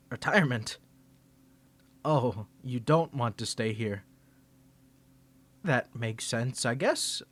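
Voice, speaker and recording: A man's voice: medium pitch, close to an everyday voice. A man voicing a flustered young tsundere male student rival in an ASMR roleplay, teasing and defensive, stammering when caught off guard. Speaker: ASMR creator.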